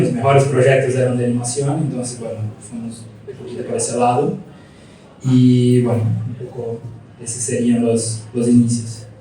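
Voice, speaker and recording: A man from Brazil with a Brazilian accent mixed with Argentine Spanish, telling his story in a reflective, unhurried way during a casual interview. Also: mid-range voice, mellow. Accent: Brazilian Portuguese with Argentine Spanish. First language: Portuguese. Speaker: studio founder, interview guest. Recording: echoey room, distant mic, faint hum.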